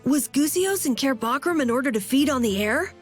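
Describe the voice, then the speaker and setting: A high, very animated little boy adventurer from a fantasy game. He sounds earnest, eager and easily flustered. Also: a little fast, soft background music. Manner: boyish, eager. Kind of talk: English game dub voice acting.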